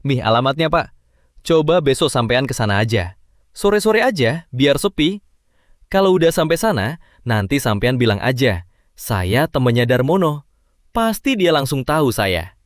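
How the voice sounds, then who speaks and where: Chatty neighbor voiced by a man, bouncy lively pitch, dry close sound. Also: normal speed, no echo, lightly acted. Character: helpful male neighbor, chatty, cheerful. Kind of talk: animated cartoon voice acting.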